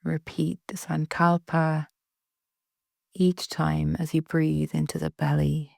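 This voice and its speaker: A woman leading a guided relaxation meditation in a soft, breathy, soothing way with long pauses. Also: sits low, flat delivery, no echo, studio quality. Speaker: yoga nidra meditation guide.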